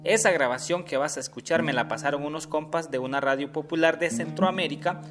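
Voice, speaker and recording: Actor as earnest Honduran activist over guitar music. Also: male voice, a little high, fairly quick, natural voice. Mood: earnest, informative. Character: Juancho, man activist chatting earnestly with a comrade. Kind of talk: scripted radio drama dialogue, conversational. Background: plucked guitar music.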